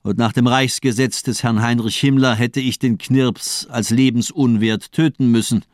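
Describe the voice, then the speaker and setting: An actor playing a cold, cynical doctor villain in a radio crime drama, speaking eloquently with mocking elegance. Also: male voice, mid-range voice, fairly even intonation, no echo, studio quality, lightly acted. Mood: coldly amused. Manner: eloquent, playful, mocking, deliberate monologuing.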